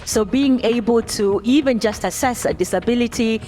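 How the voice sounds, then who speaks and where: A woman from Uganda, speaking as a panelist in a thoughtful, unhurried way with many ums and uhs. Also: fairly high pitch, darkish tone, faint music behind. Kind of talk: panel discussion answer.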